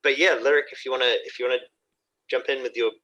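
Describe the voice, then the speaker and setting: A man's voice: mid-range voice, steady tone, fast, a bit bright, highs cut off. A man with a middle-range voice hosts a poetry open mic. He speaks casually and hesitantly, piecing his sentences together as he passes between readers. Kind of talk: casual chat.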